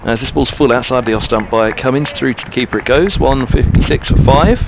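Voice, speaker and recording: A man's voice: mid-range voice, brisk, very noisy. A man giving ball-by-ball radio cricket commentary, musing chattily between deliveries.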